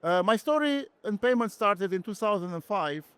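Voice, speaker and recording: A middle-aged man with a Romanian accent, telling his own story earnestly and haltingly as an interview guest. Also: high voice, animated, fairly bright tone, clean tone, no echo. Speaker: interview guest, company founder. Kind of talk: personal story in a podcast interview.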